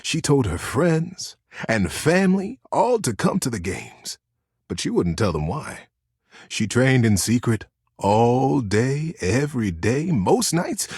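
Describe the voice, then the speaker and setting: Highly expressive male storyteller, dry sound. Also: slightly rough, dry recording. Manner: gentle suspense, expressive emphasis. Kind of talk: dramatic children's storybook narration.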